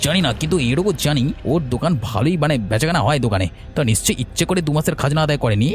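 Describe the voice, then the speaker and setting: Man voicing a fast-talking, fawning henchman. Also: fairly high pitch, expressive, faint music behind, close to an everyday voice. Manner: fawning, eager. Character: eager, fawning henchman of a village boss. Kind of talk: Bengali fairy-tale cartoon dialogue.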